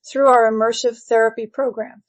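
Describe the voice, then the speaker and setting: A woman's voice: fairly high pitch, little pitch movement, normal speed, close to an everyday voice. A woman playing a composed, reassuring grief-therapy doctor in a screenplay reading, speaking in a formal, placating way.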